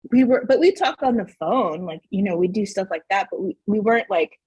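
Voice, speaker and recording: A woman from Southern New Mexico whose first language is Spanish, telling family stories as a podcast guest in an animated way, laughing often and saying "like" a lot. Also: mid-range voice, fairly quick, slightly rough, no echo, muffled recording. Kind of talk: casual interview storytelling.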